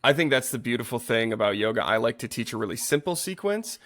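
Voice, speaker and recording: The man you'd hear co-hosting a laid-back yoga podcast, earnestly talking through how he teaches, at a middling pitch. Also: lively intonation, fast, fairly bright tone. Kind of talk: casual conversational podcast chat.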